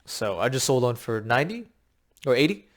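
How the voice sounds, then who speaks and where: Guy with a kinda raspy voice rambling through a sales pitch, lots of ums, sounds a bit out of breath. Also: sing-song, fairly quick. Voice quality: slightly raspy. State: short of breath from long talking. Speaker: crypto game coach. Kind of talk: casual talking-head explainer.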